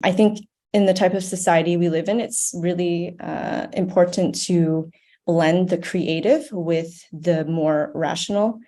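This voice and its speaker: A woman's voice: lowish voice, a little slow, dark tone. An American woman speaking earnestly and thoughtfully as an interview guest, in a reflective conversational style. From United States, grew up in Ohio. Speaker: podcast guest, nonprofit board member.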